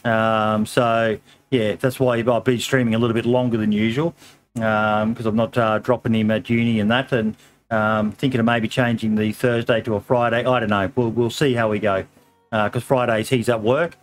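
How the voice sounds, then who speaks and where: A middle-aged man hosts a relaxed podcast with a slightly hoarse voice. He talks in an easygoing, rambling way, filling pauses with ums and calling people mate. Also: lowish voice, slight background noise. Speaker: podcast host. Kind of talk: casual podcast chat.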